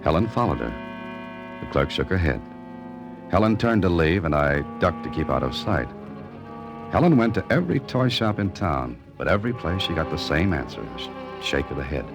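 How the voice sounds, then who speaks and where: Male sleuth, 1940s radio mystery. Low register, expressive swings, wry understatement and cool nerve. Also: normal speed, exaggerated voice. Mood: tense narrating. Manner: dry, understated, teasing. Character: cool, wry novelist turned amateur sleuth, a man. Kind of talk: 1940s radio drama acting and first-person narration. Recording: vintage radio recording with music.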